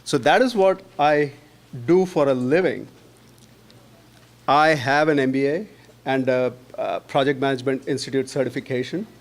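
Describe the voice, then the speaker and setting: A man giving a persuasive candidate speech at a homeowners' meeting, earnestly making his case and often checking in with a quick "right?". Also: mid-range voice. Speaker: board candidate.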